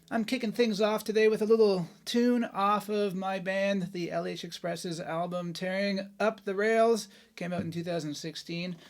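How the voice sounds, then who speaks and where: A man hosting a guitar show, talking casually and enthusiastically in a chatty, informal way about gear. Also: high-pitched. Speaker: host of a guitar review show. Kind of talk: casual gear talk and demonstration.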